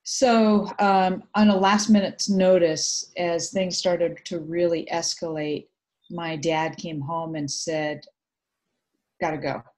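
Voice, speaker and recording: A middle-aged woman from Vietnam, Vietnamese her first language, telling her story thoughtfully in a video call interview with many pauses. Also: lowish voice, steady tone, darkish tone. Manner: reflective, hesitant with many fillers. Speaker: guest. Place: home room indoors. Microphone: video call webcam.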